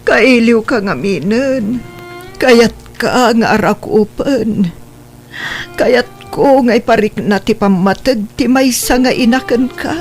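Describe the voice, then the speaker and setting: A woman's voice: a little high, darkish tone. Plaintive Ilocano mother, music behind acted by a woman. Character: remorseful mother pleading for her estranged son's forgiveness. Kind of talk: Ilocano audio drama acting.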